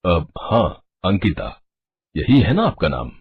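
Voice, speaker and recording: A man voicing a gentle, fatherly middle-aged doctor. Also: sits low, wildly swooping pitch, slow, breathy edge, no echo, narrow, tinny audio, heavily acted. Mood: kindly. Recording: music under the voice.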